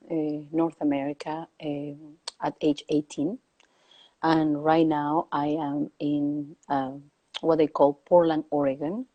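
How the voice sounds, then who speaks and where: Soft-spoken woman from Peru, low and mellow. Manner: slow, thoughtful, with many hesitations. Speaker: guest. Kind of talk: reflective conversation. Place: home, calling in remotely.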